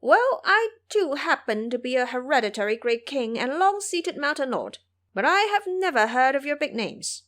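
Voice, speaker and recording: A woman's voice: high-pitched, sing-song, normal speed, a bit bright, pitched above the performer's own voice. A woman voicing a cocky, fearless male monkey disciple who boasts and taunts in an audio drama. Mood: proud, mocking.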